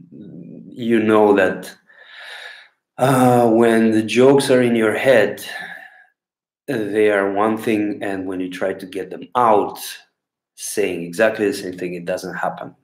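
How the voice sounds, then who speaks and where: A man in his thirties from Bulgaria, speaking English with a Bulgarian accent, rambling and pausing to find words as he answers questions into a laptop webcam at home. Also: on the low side, darkish tone. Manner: unhurried, rambling, pauses to search for words. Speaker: clown answering viewer questions. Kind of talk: casual talk to camera. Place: small room at home, indoors. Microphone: laptop webcam at desk. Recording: echoey room on a laptop mic.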